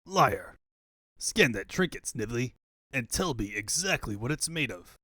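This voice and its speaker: A man's voice: mid-range voice, sing-song, unhurried, pushed and bright, husky, no room sound, clean studio sound, heavily acted. A man voicing a blustering, tyrannical but cowardly cartoon villain in a menacing, bombastic way for a comic fan dub.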